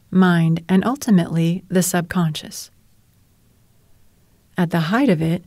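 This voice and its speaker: A woman leading a guided relaxation as a meditation teacher, speaking in a calm, soothing and measured way. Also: lowish voice, dry recording, clean studio sound. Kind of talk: guided relaxation narration, yoga nidra.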